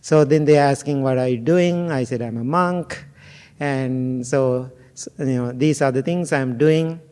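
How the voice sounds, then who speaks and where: Slow-speaking monk from Sri Lanka. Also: male voice, medium pitch, darkish tone, clean tone. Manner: slow, musing, jokes and asks the audience questions. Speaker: Buddhist monk teacher. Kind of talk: informal dharma talk to a group.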